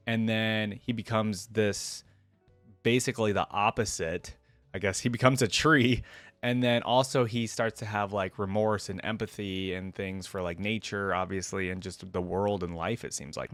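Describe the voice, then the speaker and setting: A man's voice: fairly low pitch, no echo. A man hosting a reaction video, moving between casual, informal commentary and reading a story aloud. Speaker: YouTube reaction host.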